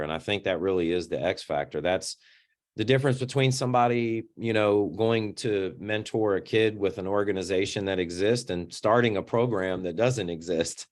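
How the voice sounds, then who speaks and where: A middle-aged man with a deep voice, speaking as an interview guest in an earnest, reflective way and often repeating words as he starts a sentence. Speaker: interview guest, youth organization founder.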